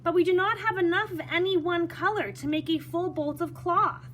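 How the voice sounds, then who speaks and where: A woman's voice: extremely high pitch, normal speed, a bit bright, slight background noise, voice pushed up. A woman voicing earnest, polite young brothers in a children's folktale, with emphatic delivery. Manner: emphatic storybook dialogue.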